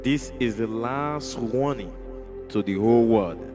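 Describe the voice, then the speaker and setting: A man giving a slow, grave warning. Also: medium pitch. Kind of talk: solemn warning at the end of a prophecy. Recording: backed by loud music.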